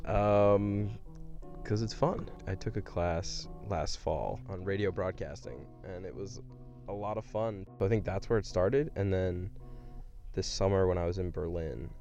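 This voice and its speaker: A man with a deep voice and plenty of vocal fry chats casually as a podcast co-host. He is recorded inside a van on a cheap microphone, with faint music underneath. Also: a little slow, dark tone, muffled recording. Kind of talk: casual chat. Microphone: cheap USB microphone.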